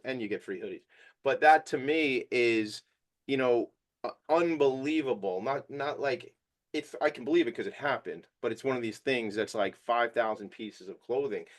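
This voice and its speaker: A man hosting a podcast interview, playful and excitable, breaking in with bursts of enthusiasm. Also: medium pitch, normal speed. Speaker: podcast host. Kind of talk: casual interview chat.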